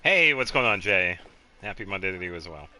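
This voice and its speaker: A man casually commentating a game on a live stream, chatting with viewers and thinking aloud in a low-key, rambling way. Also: very animated, a little fast, sharp tone, a little hiss or hum. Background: game music.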